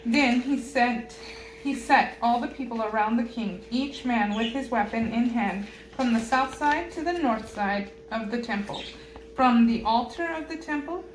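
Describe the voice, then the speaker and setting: A woman reading scripture aloud in a halting manner, stumbling over unfamiliar names. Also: on the high side. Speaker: Bible reader. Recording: roomy echo with loud background noise.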